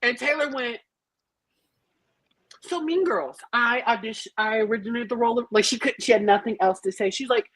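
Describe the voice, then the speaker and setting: A woman's voice: a little high, normal speed, fairly bright tone, no echo. A woman chatting casually on a group podcast in a blunt, sassy, theatrical manner. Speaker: podcast panelist. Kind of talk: animated casual group chat.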